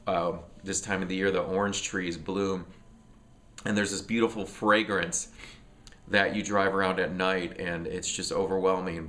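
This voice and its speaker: A man's voice: low-pitched, fairly bright tone, a little hiss or hum. A middle-aged man, sniffly from allergies, chats casually and rambles about records at home, with lots of fillers and restarts. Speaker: music vlogger. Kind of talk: casual record collection chat.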